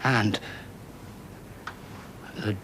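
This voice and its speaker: A man's voice: drawn-out words, fairly bright tone, a little hiss or hum. An actor playing an exhausted young man who speaks hesitantly and earnestly to his mother in a radio drama. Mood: troubled. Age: young adult. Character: haunted, exhausted young son.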